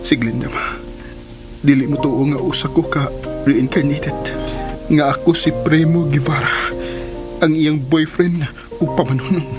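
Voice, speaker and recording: Man playing earnest pleading son in a radio drama, slightly high voice, clear music under it. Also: steady tone, measured pace, pauses often, natural voice. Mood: earnest, confiding. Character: earnest grown son, reincarnated lover, confiding in his mother.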